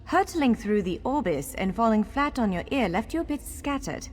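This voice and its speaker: A woman's voice: a little high, sing-song, normal speed, darkish tone. A woman playing a courteous, wry elf scholar guiding someone in a video game, articulate and formal yet playful. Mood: brisk, friendly. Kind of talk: video game character dialogue. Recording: long hall reverb over music.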